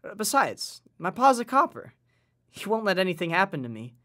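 A man's voice: high-pitched, big pitch swings, normal speed, a bit bright. A man playing an earnest, worried working-class boy in a nostalgic period audio drama, with folksy old-time slang and an eager, sincere manner. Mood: earnest, reassuring.

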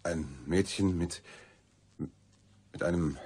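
Low, husky, reserved investigator. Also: male voice, takes it slowly, darkish tone, full character voice. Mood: embarrassed. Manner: hesitant, questioning, understated. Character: reserved, sceptical male investigator, easily embarrassed. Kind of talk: German radio crime drama acting.

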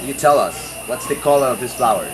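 A man's voice: mid-range voice, expressive, a little fast. A man, working as a nature guide, who quizzes a group about plants and explains patiently, recorded outdoors from a camera a few steps away. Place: outdoors in tropical garden. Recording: roomy outdoor sound with background noise.

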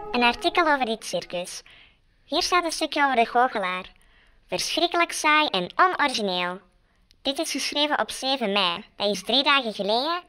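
A woman's voice: sits high, animated, normal speed, clean tone. A woman with a Flemish accent playing a small, curious male gnome sleuth in an amateur radio play. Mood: pondering. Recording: loud music behind.

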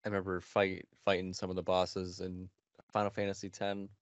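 A man in his thirties chatting casually as a podcast co-host, laid-back and halting, on slightly muffled call audio. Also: deep voice, completely flat delivery, no echo. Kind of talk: casual chat about video games. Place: small home room. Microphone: headset, video call.

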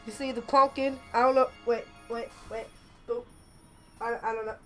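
Raspy high-voiced woman gaming on a headset. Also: fairly even intonation, normal speed, faint music behind. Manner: chatty, swears often, loud outbursts at the game. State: a little sick. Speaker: gaming channel host. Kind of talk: casual let's-play commentary.

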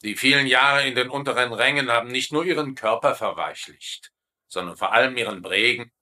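An actor playing a haughty, scornful German officer, pompous and disdainful, in a comedy dub. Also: male voice, medium pitch, a little fast, sharp tone.

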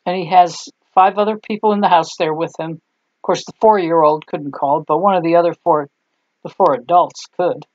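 A woman's voice: fairly low pitch, steady tone, normal speed, darkish tone, dry recording. Older lady rambling homey while she makes clay stuff, kinda tired, dry dull home sound. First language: German. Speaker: craft tutorial host. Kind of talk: chatty craft tutorial. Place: home craft table, indoors.

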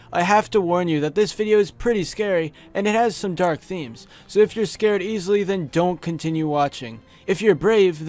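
A man's voice: high-pitched, darkish tone. A man narrating scripted creepy stories as a video host, recorded on a close microphone. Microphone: close studio USB microphone. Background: music behind the voice.

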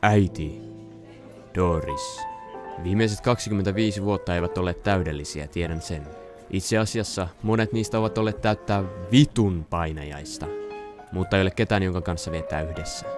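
A man's voice: deep voice, close to an everyday voice. A man voicing an exasperated, grumpy middle-aged father in a cartoon parody dub, with an irritated manner. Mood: sentimental. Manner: irritated, foul-mouthed. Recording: music behind the voice.

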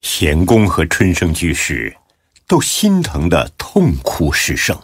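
A man narrating a scripted story as an audiobook narrator, in a deep, husky voice. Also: wildly swooping pitch, slow pace, no echo, studio quality.

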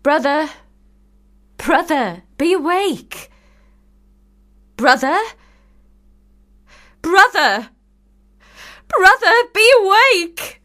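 A woman's voice: extremely high pitch, lively intonation, drawn-out words, pauses often, bright, forceful tone, heavily acted. A woman playing a desperate sister in a radio play, crying out with urgent, wailing calls.

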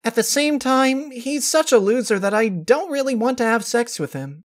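A man voicing a bubbly, blunt female cartoon pony party planner with an excitable manner. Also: very high voice, moderate pace, pitched above the performer's own voice. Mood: exasperated.

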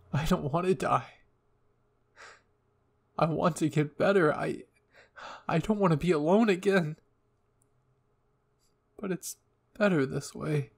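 A man voicing a wounded, remorseful young villain in an anime roleplay, speaking softly in a halting, weary way. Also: on the high side, gravelly, breathy edge, full character voice. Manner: halting, weak, pleading. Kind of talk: anime ASMR roleplay acting.

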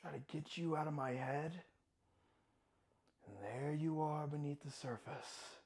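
A man performing a dramatic monologue as a tormented young man, intense and halting, moving between hushed and anguished. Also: on the high side, sing-song, pitched below the performer's own voice. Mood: hushed, regretful. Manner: intense, halting, bursting from quiet murmurs into anguished shouting. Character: tormented young man haunted by shaving, swinging from hushed to frantic.

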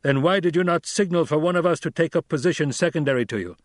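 A man's voice: fairly high pitch, fast talker, no room sound, studio quality, voice pushed up, lightly acted. A man reading a stern, blunt, middle-aged desert troop leader in an audiobook, with a harsh, commanding manner.

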